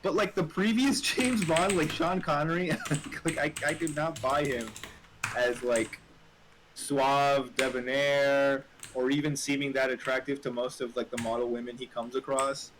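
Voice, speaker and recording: A man in casual, opinionated conversation, rambling in an animated way with frequent filler words and some swearing. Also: mid-range voice, a little slow. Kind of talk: casual chat, opinionated film talk. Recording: noisy room with keyboard clatter.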